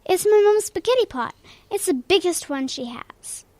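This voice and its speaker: A woman's voice: very high-pitched, lively intonation, normal speed, dark tone, dry recording. A female performer playing a helpful, earnest young girl in a children's radio play, hesitant and full of questions. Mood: earnest, unsure.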